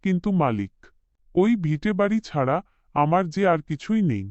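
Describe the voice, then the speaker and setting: A man's voice: fairly high pitch, sing-song, normal speed, no room sound. A man voicing a desperate poor working father in a cartoon, deferential and sorrowful, in a dull recording. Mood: pleading. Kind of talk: cartoon voice acting.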